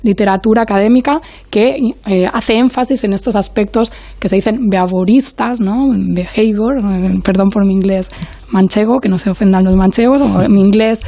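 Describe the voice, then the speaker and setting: A woman speaking as an academic guest on a panel, in a measured, analytical way, often searching for her next word. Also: mid-range voice, dark tone, dry recording.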